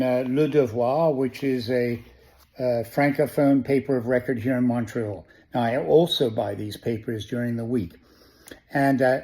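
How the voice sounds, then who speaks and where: A man who grew up in New York City, hosting a spoken newspaper review in a conversational way, often pausing with "uh" and restarting phrases. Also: medium pitch, darkish tone. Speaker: host of a press review. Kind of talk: casual spoken press review.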